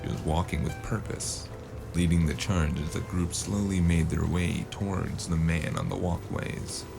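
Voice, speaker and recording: A man narrating a noir story in first person, measured and gritty. His voice sits very low with a gravelly fry. Loud music plays under him. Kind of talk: noir audio drama narration.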